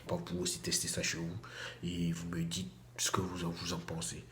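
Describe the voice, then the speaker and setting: A man with a cold talks casually to his phone camera in a small bathroom, his voice low and even. He repeats himself and restarts often, and the small room echoes around him. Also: moderate pace, slight background noise. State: has a cold. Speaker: vlogger. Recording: echoey room with faint ambience.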